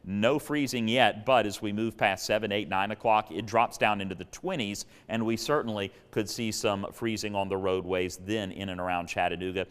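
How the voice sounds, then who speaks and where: A man with a smooth, resonant voice on the low side delivers a weather forecast. He explains things conversationally, without sounding read. The sound carries a long reverb. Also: normal speed, few pauses. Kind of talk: unscripted weather forecast presentation.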